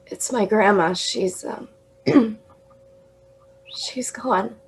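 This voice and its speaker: A woman's voice: medium pitch, very slow, a little hiss or hum, low-quality recording. An actress in a radio drama playing a young woman at college, tipsy and stammering. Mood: grieving. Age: young adult. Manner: hesitant, stammering. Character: young woman, college student far from home, tender. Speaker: college student.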